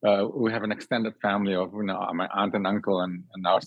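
A man from Singapore with a fairly low voice talks as an interview guest in a dry, slightly dull recording. He explains at length and earnestly, circling back over words and rephrasing as he searches for the right one. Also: flat delivery, fast talker, no room sound. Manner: hesitant, repeats and reworks phrases while thinking. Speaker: guest, cook and cooking teacher. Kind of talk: conversational interview answers. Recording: dry, slightly dull band-limited sound.

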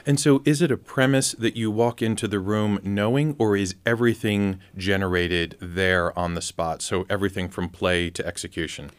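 A man hosting a conversational interview, asking measured, inquisitive questions as he goes. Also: on the low side, clear, resonant tone, no room sound.

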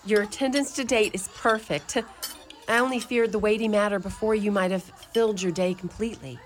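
A woman's voice: mid-range voice, steady tone, fairly quick, a little hiss or hum, lightly acted. A woman playing a wry mother superior. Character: Irish mother superior of a convent orphanage, warm, wry. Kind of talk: community radio play acting.

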